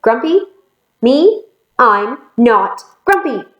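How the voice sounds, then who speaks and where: A woman's voice: a little high, very animated, slow pace, reverberant, pitched above the performer's own voice. A woman voicing a grumpy male chimpanzee in stubborn denial, emphatic and indignant, for a storybook.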